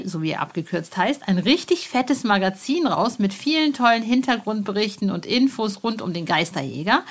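Effusive woman hosting a podcast. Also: medium pitch. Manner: effusive, informal. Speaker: podcast host and interviewer.

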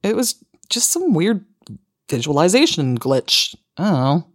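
A woman's voice: on the low side, very animated, a little slow, no echo, clean studio sound. An actress playing a practical, dismissive woman podcaster with an American accent, casual and matter-of-fact in a naturalistic audio drama. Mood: dismissive, reassuring.

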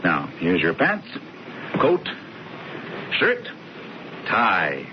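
Radio play casting: brash, bossy schemer of a brother, breezy and theatrical, swinging pitch, dull slightly noisy recording. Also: male voice, huge pitch swings, extremely slow, heavily acted. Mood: grandly pleased. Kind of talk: radio drama acting.

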